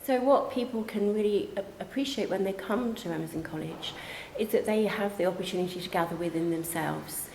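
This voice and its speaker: A woman's voice: medium pitch, fairly quick, gravelly, darkish tone, slight background noise. A woman on a college's staff speaking earnestly and explaining things in an informal promotional interview. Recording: echoey reverberant room, music behind. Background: background music.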